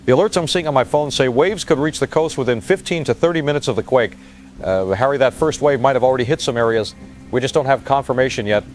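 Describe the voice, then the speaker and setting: A man's voice: mid-range voice, quick pace, soft background music, lightly acted. A man playing a shaken field reporter giving a hesitant, vivid eyewitness disaster dispatch in an audio drama. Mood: tense, uncertain.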